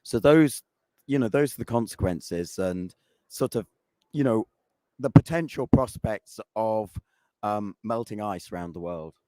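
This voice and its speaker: Croaky guy losing his voice, rambly conference talk, dry close sound. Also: fairly low pitch, a little slow, mellow, dry recording. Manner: rambling, with fillers. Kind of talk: conference presentation and panel chat.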